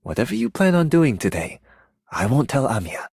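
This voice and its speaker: An actor playing a genial, reminiscing soldier in a fantasy game, talking in a relaxed, conversational way. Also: male voice, mid-range voice, moderate pace, gravelly, a little hiss or hum. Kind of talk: game character voice line.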